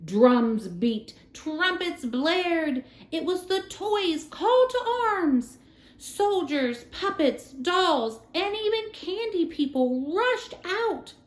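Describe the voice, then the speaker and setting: Expressive woman storyteller in an echoey room. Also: extremely high pitch, drawn-out words. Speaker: storyteller reading aloud. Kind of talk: scripted children's storybook narration. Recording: roomy, echoing room sound.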